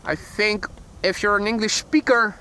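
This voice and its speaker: A man's voice: high-pitched, fairly even intonation, moderate pace, sharp tone. A man with a Dutch accent hosting a live plane spotting stream outdoors, chatty and correcting himself as he goes, with dry little jokes. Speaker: plane spotting livestream host. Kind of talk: live commentary. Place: outdoors beside a field near a runway. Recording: faint background noise outdoors. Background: outdoor ambience, vehicles.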